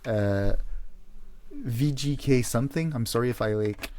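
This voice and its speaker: A man's voice: medium pitch, lively intonation, unhurried, darkish tone, a little hiss or hum. A young man co-hosting a podcast, chatting casually with slang, swearing and emphatic exaggeration. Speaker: podcast co-host.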